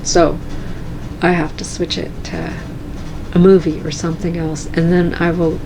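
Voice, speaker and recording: A woman chatting casually and calmly in her cross-stitch vlog at home, soft-spoken and unhurried. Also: on the low side, creaky, background noise. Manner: calm, slow and soft-spoken. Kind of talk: casual chat.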